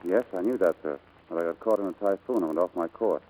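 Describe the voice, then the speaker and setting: An actor playing a respectful, clipped Navy flier in a 1940s radio drama. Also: male voice, sits low, quick pace, dark tone. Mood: respectful, tense. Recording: muffled vintage 1940s radio recording.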